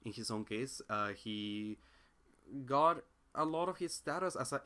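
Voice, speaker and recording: A man's voice: medium pitch, pushed and bright. Thoughtful young man with a Spanish accent. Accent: Spanish-speaking. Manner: thoughtful, searching, many fillers and restarts. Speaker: independent philosophy commentator. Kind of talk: casual reflective monologue.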